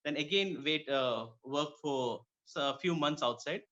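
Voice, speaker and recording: A man's voice: on the high side, measured pace, bright, forceful tone. A man from Kuwait speaking conversationally as a dermatologist guest in an interview. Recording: dull lo-fi audio.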